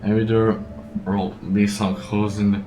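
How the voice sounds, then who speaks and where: A man's voice: fairly low pitch, flat delivery, slow pace, echoey room, poor audio. A man giving casual commentary as he streams a video game. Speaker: streamer reacting while playing a game.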